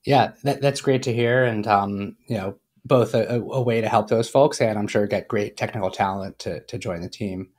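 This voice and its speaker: A man's voice: lowish voice, steady tone, moderate pace, few pauses, a little mellow. A young man hosting an interview over a video call, asking friendly, upbeat questions through earbuds. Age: young adult. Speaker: podcast host.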